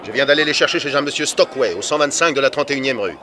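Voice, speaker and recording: An actor playing a huffy, formal gentleman who indignantly protests, in a French radio drama. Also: medium pitch, expressive, fast talker, crowd noise, natural voice. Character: indignant, haughty gentleman protesting his honesty.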